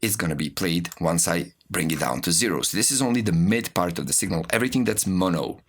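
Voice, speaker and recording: A man giving an informal, explanatory music production tutorial, walking through software as an instructor. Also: sits low, animated, quick pace, a little hiss or hum. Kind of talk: spoken tutorial with software demonstrations.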